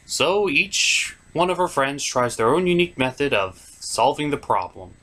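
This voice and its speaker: Lively, higher-voiced man reviewing cartoons. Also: normal speed. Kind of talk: scripted comedic review narration.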